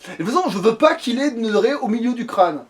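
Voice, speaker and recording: A man's voice: very high-pitched, lively intonation, brisk. A man playing a video game and chatting casually in informal, crude slang. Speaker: let's player. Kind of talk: casual chat while creating a game character.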